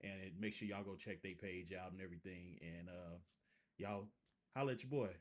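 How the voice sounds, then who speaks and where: A man's voice: low-pitched, brisk. A man hosting a casual reaction video, rambling and restarting his sentences as he talks to his viewers. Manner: rambling, repeats himself, frequent restarts.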